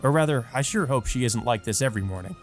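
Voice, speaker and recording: A man's voice: mid-range voice, expressive, fast. Male let's play host, mid-range voice, casual and amused, reads visual novel text and comments, music underneath.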